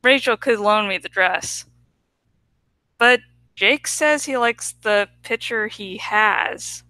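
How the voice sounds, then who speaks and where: Female storybook narrator, bright and forward tone, unhurried pace, expressive first-person read. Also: mid-range voice, no room sound. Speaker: audiobook reader.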